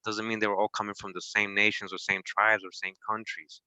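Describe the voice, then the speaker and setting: A man from Costa Rica with a low, sharply bright voice. He talks casually and informally, peppering his points with "you know." The recording is close and dry. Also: flat delivery, brisk, clean tone, dry recording, poor audio. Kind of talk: casual discussion chat.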